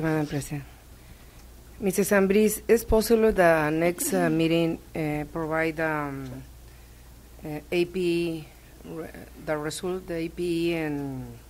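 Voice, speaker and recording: A woman on a school board who speaks slowly and hesitantly, in a polite tone. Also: sits low, a little mellow, slight background noise. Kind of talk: board meeting remarks.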